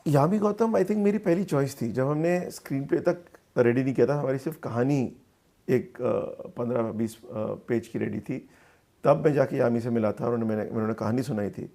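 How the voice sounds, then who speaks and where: Creaky-voiced man in an interview. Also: medium pitch, mellow. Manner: halting, thoughtful, with repeated words and pauses; mixes English phrases into Hindi. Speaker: filmmaker being interviewed. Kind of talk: conversational interview answers, recounting film work.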